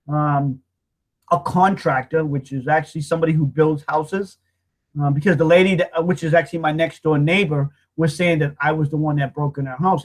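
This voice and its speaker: A man in his fifties telling his own life story as a personal testimony, emotional and halting, often repeating himself and starting over. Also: on the high side, rough recording. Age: 50s.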